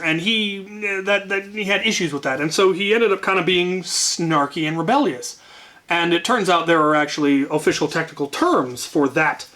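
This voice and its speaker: A man's voice: fairly high pitch, sharp tone. A man telling an anecdote casually as a channel host, wryly, often repeating words as he gathers his thoughts.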